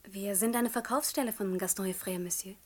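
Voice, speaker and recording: A woman's voice: mid-range voice, brisk, lightly acted. An actress playing a polite, obliging shop saleswoman who is easily amused, in a 1960s radio comedy. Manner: courteous, obliging.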